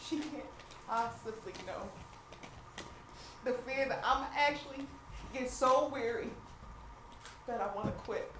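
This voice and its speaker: A woman's voice: on the high side, a little slow, long pauses, lightly acted. A woman on stage playing a weary, sardonic woman struggling with infertility, speaking to the audience in a live play. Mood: weary, anguished. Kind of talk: live stage play monologue and comic banter. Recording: echoey theatre from far off, noisy audience.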